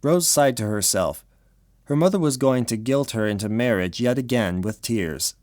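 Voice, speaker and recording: A man's voice: medium pitch, lively intonation, moderate pace, darkish tone, no echo, clean studio sound. A man reading scripted story narration for an audio drama.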